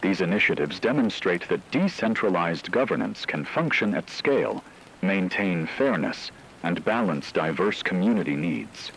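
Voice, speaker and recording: A man's voice: low voice, expressive, moderate pace, a bit bright, slight background noise, low-quality recording. A man reading a scripted, dramatized radio news report as an anchor, formal and grave.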